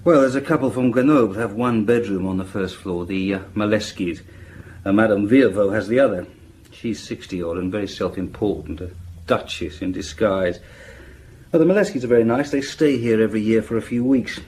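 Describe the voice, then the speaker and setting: A man's voice: lowish voice, darkish tone, close to an everyday voice. Actor as a matter-of-fact male police inspector. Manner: hesitant pauses, matter-of-fact reporting. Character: diligent, conversational police inspector briefing his chief. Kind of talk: radio drama acting. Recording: faint background noise.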